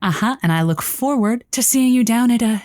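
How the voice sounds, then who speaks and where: A woman's voice: on the high side, lively intonation, brisk, darkish tone, no echo, close to an everyday voice. An actress playing an effusive, prickly woman who hosts a lonely hearts radio show, hesitant and sarcastic, in an audio comedy. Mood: brittle politeness. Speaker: radio call-in host. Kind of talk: scripted audio comedy acting.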